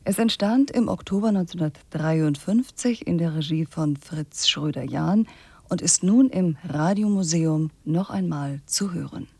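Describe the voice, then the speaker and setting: Dark, husky, creaky radio presenter. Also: medium pitch, a little slow, no room sound. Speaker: radio presenter introducing an archive feature. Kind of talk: scripted narration, calm radio introduction.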